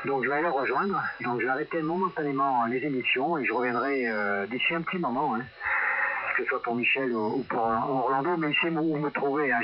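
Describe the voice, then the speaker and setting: A man from France chatting casually over a radio transmission as an amateur radio operator. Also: mid-range voice. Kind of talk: casual radio contact chat. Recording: very noisy, muffled radio transmission.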